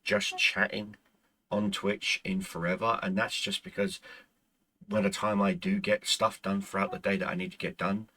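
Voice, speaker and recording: Gaming host, male, native Irish speaker, husky low voice, rambling and halting, voice wearing out. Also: flat delivery, pushed and bright. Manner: rambling, with frequent stumbles and restarts. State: losing his voice. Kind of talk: casual chat to viewers.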